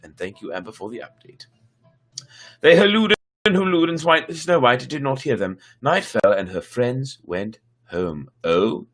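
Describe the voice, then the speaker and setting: A man's voice: mid-range voice, big pitch swings, measured pace, a bit bright. A man reading stories aloud on a casual livestream, theatrical, with playful asides to his viewers. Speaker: storyteller streamer. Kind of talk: casual livestream storytelling, dramatic read-aloud. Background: background music.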